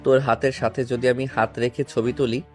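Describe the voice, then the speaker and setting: A man's voice: mid-range voice, little pitch movement, moderate pace, a little mellow, soft background music, natural voice. A man acting a humble, self-deprecating young servant in an audio story drama. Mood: dejected, self-deprecating.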